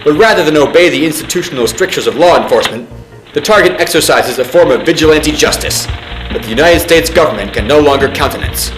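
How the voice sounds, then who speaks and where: A man acts as an officious narrator of a secret government film. His voice sits fairly high for a man, with grave, pompous, deliberate delivery. It rings with echo over clear music. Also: lively intonation, lightly acted. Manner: formal, pompous, deliberate enunciation. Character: solemn male military briefing narrator, grave and officious.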